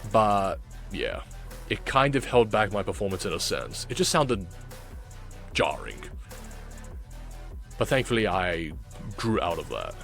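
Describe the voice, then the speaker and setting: A man's voice: deep voice, sing-song, a little slow, gravelly, pauses often, fairly bright tone, faint music behind. A man giving casual, reflective and self-critical commentary as a video creator and amateur voice actor reacting to his work.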